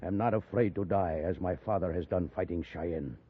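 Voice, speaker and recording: An actor playing a stoic, fearless Crow warrior who speaks terse broken English in a 1950s radio western. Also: male voice, deep voice, normal speed, breathy edge. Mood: stoic resolve. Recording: muffled, narrow-band vintage radio recording.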